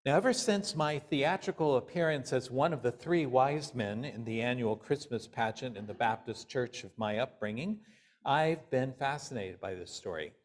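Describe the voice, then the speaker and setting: A male minister delivering a sermon in deliberate, measured phrases with pauses. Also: mid-range voice.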